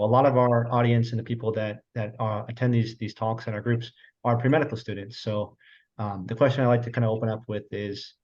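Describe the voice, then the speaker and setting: A man's voice: mid-range voice, a little mellow, highs cut off. A young man in his twenties, a medical student, hosting a video-call panel in a friendly way with many fillers. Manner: friendly, quick, with fillers and restarts. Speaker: host, medical student moderator.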